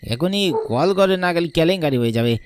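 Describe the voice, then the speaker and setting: Man acting an excitable, swooping cartoon village man. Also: on the high side, quick pace, a little hiss or hum, lightly acted. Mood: fretful, puzzled. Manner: muttering to himself, exclaiming, stammering when scared. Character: frightened village man, Arun, haunted by dead friend. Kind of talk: Bengali horror cartoon voice acting. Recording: background music under the voice.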